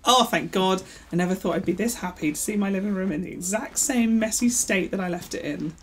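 A woman's voice: mid-range voice, brisk, slight background noise, close to an everyday voice. A woman playing a bemused, ordinary young woman caught up in an alien adventure, in an audio drama. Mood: relieved. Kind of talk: fan audio drama acting.

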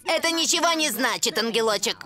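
A woman's voice: fairly high pitch, animated, a little fast, bright, forceful tone, faint music behind. An actress voicing a cold, sneering teenage girl devil in a cartoon dub.

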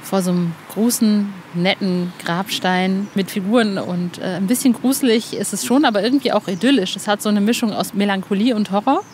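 A woman's voice: medium pitch, brisk, hardly any pauses, darkish tone. A woman hosting a podcast, chatting and interviewing in an informal, enthusiastic way. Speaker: podcast host. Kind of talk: excited podcast interview and chat. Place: outdoors in a cemetery. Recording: clear outdoor background noise.

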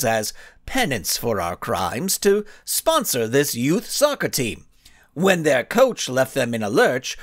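A man's voice: fairly high pitch, dry recording, studio quality. A man voicing a cocky, grandstanding male supervillain in a storybook reading, giving smug, showy speeches.

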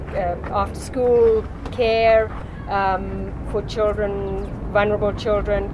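A woman in her fifties speaking slowly with many pauses and fillers in an outdoor interview. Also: mid-range voice, very noisy. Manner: slow, hesitant, many fillers. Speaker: volunteer, midwife. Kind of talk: documentary interview. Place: outdoors at tables. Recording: loud music bed under the voice.

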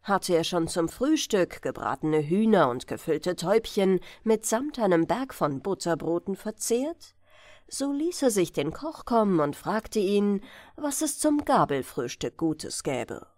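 Woman audiobook narrator, fairy-tale bedtime reading, lively intonation, dry close sound, deliberate phrasing. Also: lowish voice, dry recording. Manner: expressive storytelling, deliberate phrasing.

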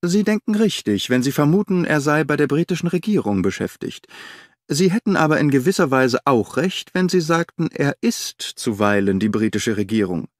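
A man's voice: on the high side, moderate pace, dry recording, clean studio sound. Man doing a restless, sardonic detective. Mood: explaining, animated. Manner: animated, sardonic, declaiming. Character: restless, impatient middle-aged male detective. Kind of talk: audiobook character voice.

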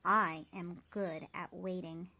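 Wise old storybook spider, acted by a woman: slow, reassuring, kind and sure of herself. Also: fairly low pitch, steady tone, voice pushed down, heavily acted. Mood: patient. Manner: gentle, reassuring, measured. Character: wise old female spider, kindly and self-assured. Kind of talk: storybook character reading.

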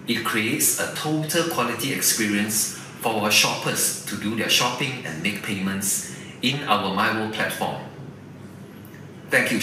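A young man from Malaysia answers in a formal, scripted way over a live link. His voice is low but bright. It is picked up from a distance in a very echoey small office, with a faint hum of ambience behind him. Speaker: remote guest. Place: small indoor office room.

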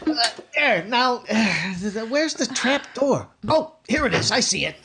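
A man's voice: extremely high pitch, sing-song, heavily acted. An actor playing a bumbling, stammering young man bluffing his way through a date in 1950s radio comedy, on a noisy recording. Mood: bluffing, urgent. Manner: stammering, hurried, falsely confident.

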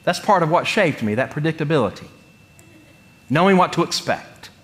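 A middle-aged male pastor gives a sermon full of personal stories. His pitch moves widely and expressively, and he talks conversationally, with humor and deliberate pauses. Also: animated. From North Carolina, United States. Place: church sanctuary, speaking from the pulpit.

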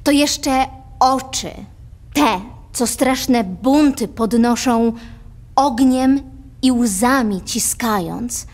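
Defiant teenage countess, higher voice, very measured solemn verse, audio drama. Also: female voice, darkish tone. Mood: wounded pride. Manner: solemn, deliberate, poetic delivery. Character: proud, defiant sixteen-year-old aristocratic girl. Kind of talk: theatrical verse drama acting.

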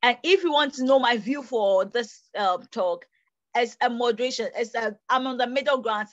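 A young woman from Ghana makes her case to an online panel from a small bedroom. Her voice sits fairly high, and she talks with animated gestures, often restating herself mid-thought. The tablet audio is dry and a little muffled. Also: normal speed, no room sound. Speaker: host of an online panel discussion. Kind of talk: earnest debate remarks. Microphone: tablet camera on a video call.

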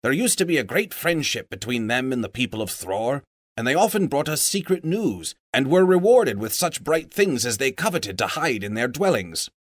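A man playing a lively, earnest old dwarf. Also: mid-range voice, moderate pace, dry recording, studio quality. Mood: reminiscing. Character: proud old male dwarf, wary and earnest.

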